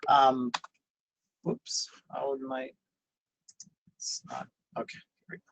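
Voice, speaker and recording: A man's voice: mid-range voice, big pitch swings, slow, vocal fry, fairly bright tone, a little hiss or hum, rough recording. A man, raised in Italy, giving a webinar presentation on a video call and explaining things with many fillers and restarts. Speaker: university presenter.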